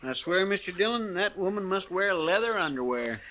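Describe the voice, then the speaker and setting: Deferential rural American sidekick, 1950s radio western. Fairly high male voice, countrified, faint recording noise. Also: animated, normal speed, sharp tone. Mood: gossipy, amused. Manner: countrified, deferential, stammering when cornered. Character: folksy, timid, gossipy deputy sidekick. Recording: slightly noisy old radio recording.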